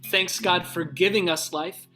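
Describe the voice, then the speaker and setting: High-voiced, bright, unhurried man, a cantor. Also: fairly even intonation, soft background music. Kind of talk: explanatory talk introducing a blessing. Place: video call.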